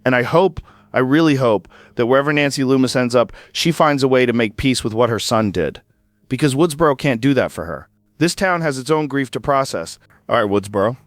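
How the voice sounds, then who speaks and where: An actor playing an earnest late-night radio host who speaks in a reflective, measured way. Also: male voice, mid-range voice, lively intonation, no echo, natural voice. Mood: somber. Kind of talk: audio drama monologue.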